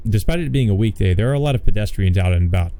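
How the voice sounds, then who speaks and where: A man's voice: fairly low pitch, expressive, very fast, mellow. A man hosting a let's play, reading visual novel text aloud and adding informal, joking commentary.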